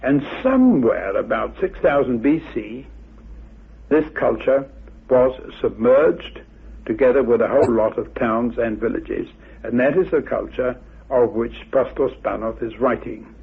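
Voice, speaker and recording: A man speaking as an interviewed expert in a courteous, formal, scholarly way, politely firm in his judgements, with a gravelly, husky voice. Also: medium pitch, animated, measured pace. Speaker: interviewed expert on Atlantis theories. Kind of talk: radio interview, measured scholarly exposition. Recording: muffled, band-limited radio recording.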